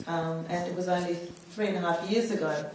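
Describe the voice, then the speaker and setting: A woman's voice: lowish voice, fairly even intonation, a little fast, slight background noise. A woman in her forties, born in the United States, telling her personal story as a panel speaker, with many fillers and restarts. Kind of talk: personal testimony at a public talk. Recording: echoey hall, mic far off.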